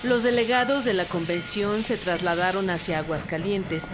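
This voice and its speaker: A narrator reading a scripted historical account in a measured, even way. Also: medium pitch, music in the background.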